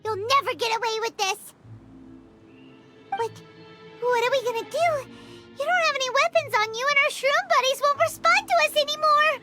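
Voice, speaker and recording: Childlike fairy mascot, very high squeaky voice, animated swoops, excitable, anime game. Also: female voice, normal speed, long pauses, soft background music, exaggerated voice. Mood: worried. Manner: speaks of self in third person, exclamatory. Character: tiny floating childlike fairy companion, squeaky, excitable, indignant. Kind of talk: anime video game voice acting.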